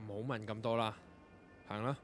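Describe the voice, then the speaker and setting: An actor in a Cantonese radio drama playing a tormented young composer, curt and dramatic, with a Hong Kong accent. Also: male voice, fairly low pitch, little pitch movement, fairly quick, faint music behind, lightly acted. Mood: curt, impatient. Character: tormented young male composer, curt, anguished, losing control of his ears.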